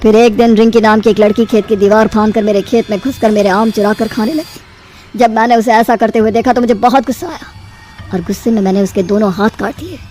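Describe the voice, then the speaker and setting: A woman voicing a sinister man, a rickshaw driver and former farm owner, in an animated horror tale with ominous, measured delivery. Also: a little high, lightly acted. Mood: grim confession.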